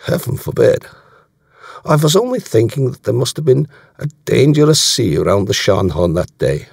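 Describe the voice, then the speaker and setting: A man's voice: mid-range voice, big pitch swings, moderate pace, gravelly, darkish tone, breathy edge, no echo, studio quality, voice pushed up, full character voice. A man voicing a bluff, shrewd German naval commander in an audiobook, genial and courteous as he probes with questions. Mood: amused, curious.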